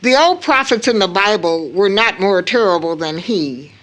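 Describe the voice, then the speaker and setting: An actress playing a grave, anguished middle-aged mother in an audio drama, speaking in a solemn, deliberate and emphatic way. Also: medium pitch, lively intonation, fairly bright tone, lightly acted. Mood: solemn, intense recounting. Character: grave, anguished mother baring a long-kept family secret. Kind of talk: stage audio drama acting.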